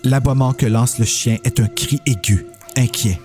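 A man's voice: mid-range voice, steady tone, normal speed, a little mellow, soft background music. A man reading scripted narration for a dramatised horror story, as its narrator.

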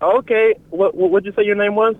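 A man answering a phone call, halting and repeating words as he gives directions. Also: sits high, steady tone. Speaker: church contact receiving a call. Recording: tinny phone line.

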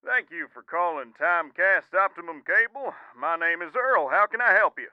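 Smooth salesy manager, muffled line. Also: male voice, sits high, lively intonation, normal speed, no room sound. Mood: salesy politeness. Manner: polite, salesy, unflappable. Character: unflappable, upselling male cable company manager. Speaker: customer service manager. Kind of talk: comedic audio drama acting.

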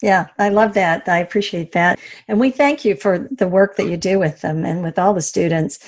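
A woman's voice: on the low side, moderate pace, dry recording. Woman interviewer with a light Southern accent. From West Virginia, US. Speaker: interviewer, university entrepreneurship program leader. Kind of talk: conversational interview hosting.

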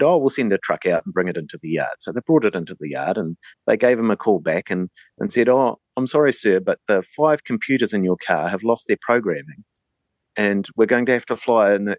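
A man's voice: on the low side, lively intonation, a little fast, dry recording. A man with a New Zealand accent telling anecdotes in a casual radio conversation as a guest.

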